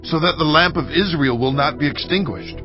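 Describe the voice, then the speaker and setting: A man's voice: on the high side, normal speed, natural voice. A man playing a loyal, protective ancient soldier in an audio Bible drama, earnest and declamatory. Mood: protective, insistent. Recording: lo-fi, music underneath.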